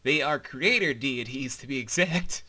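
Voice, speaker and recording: A man who directs a fantasy audio series, co-hosting a casual behind-the-scenes commentary in an animated, colloquial way. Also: mid-range voice, moderate pace.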